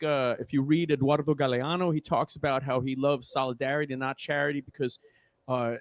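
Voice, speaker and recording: A man with a New York accent speaking as a guest organizer in an impassioned podcast discussion, earnest and preachy, often restarting his words. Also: medium pitch.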